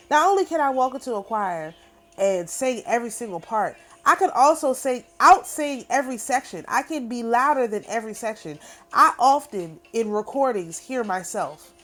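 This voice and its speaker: A middle-aged woman talking casually to camera in an emphatic, repetitive, boastful way. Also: mid-range voice. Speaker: spiritual channel host. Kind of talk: casual spoken monologue.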